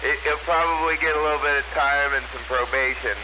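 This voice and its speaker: A man's voice: a little high, fairly even intonation, fast talker. American man on a noisy CB radio. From United States. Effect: CB radio transmission. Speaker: CB radio operator. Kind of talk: casual CB radio chat.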